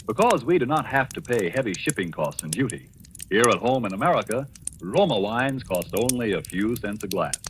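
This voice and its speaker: A man's voice: fairly low pitch, big pitch swings, moderate pace. A man announcing a 1940s radio commercial with a polished, emphatic sales delivery, on a noisy old recording. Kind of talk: 1940s radio advertisement read.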